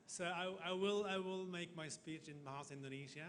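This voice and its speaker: A man giving a formal conference speech as a diplomat, halting and careful. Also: fairly high pitch, fairly bright tone. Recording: reverberant hall with faint noise.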